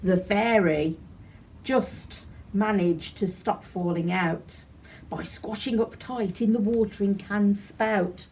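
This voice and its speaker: A woman reading a rhyming children's picture book aloud as a storyteller, in an animated, deliberate way. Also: medium pitch, a little hiss or hum, poor audio. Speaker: library storyteller.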